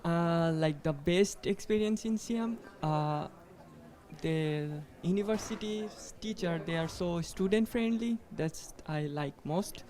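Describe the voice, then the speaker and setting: Mellow-voiced young man from Bangladesh. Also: on the high side. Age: young adult. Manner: halting, with many fillers. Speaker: student.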